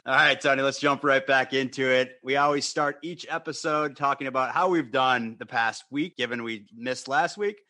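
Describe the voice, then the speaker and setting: A man with a bright, forceful voice co-hosts a casual podcast. He teases his partner and laughs easily, keeping the banter light. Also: medium pitch, moderate pace, few pauses. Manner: teasing, joking, laughs often. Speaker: podcast co-host.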